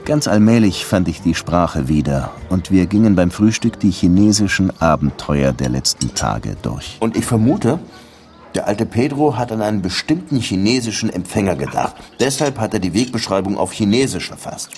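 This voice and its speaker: An actor playing a world-weary Cuban police detective in a radio drama, dry and brooding in dialogue and narration. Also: male voice, lowish voice, lively intonation, moderate pace, darkish tone, soft background music. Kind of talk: radio drama acting and first-person narration.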